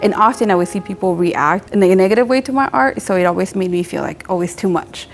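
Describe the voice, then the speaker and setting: Gravelly-voiced woman with soft music. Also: mid-range voice, quick pace. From Mexico. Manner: relaxed, rambling, frequent "like" fillers. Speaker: tattoo artist and painter. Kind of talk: casual interview monologue.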